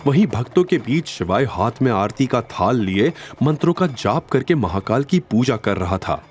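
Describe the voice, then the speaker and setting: Animated male dramatic story narrator. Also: mid-range voice, normal speed, a little hiss or hum. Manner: suspenseful, theatrical.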